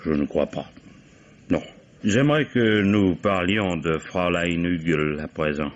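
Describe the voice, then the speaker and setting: An actor playing a shrewd police commissioner in a vintage French radio mystery, measured and courteous with an ironic edge. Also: male voice, low voice. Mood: probing, assured. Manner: measured, ironic, mock-polite. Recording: dull vintage radio recording.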